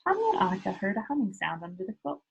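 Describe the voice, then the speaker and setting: A woman's voice: medium pitch, very animated, rapid-fire, gravelly, highs cut off, a little hiss or hum. A woman reading a children's book aloud as a storyteller, talking to young listeners as she goes. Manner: engaging listeners with simple questions.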